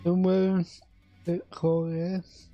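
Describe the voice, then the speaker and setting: A woman chatting casually on a game livestream in a halting, drawn-out way that often trails off. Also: lowish voice, little pitch movement, dull audio, soft background music. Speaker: game streamer.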